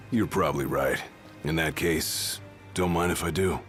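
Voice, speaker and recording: Very deep-voiced young bodyguard. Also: male voice, a little slow. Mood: resolute. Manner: casual, laid-back slangy phrasing. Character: gruff, brawny young royal bodyguard, confident and casual. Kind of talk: dramatic video game acting. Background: loud music.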